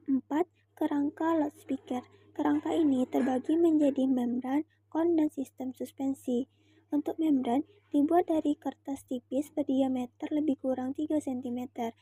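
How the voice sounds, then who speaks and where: Young woman, student presenter; high, very dark-soft timbre, formal scripted explainer delivery, dry recording. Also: no echo. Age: young adult.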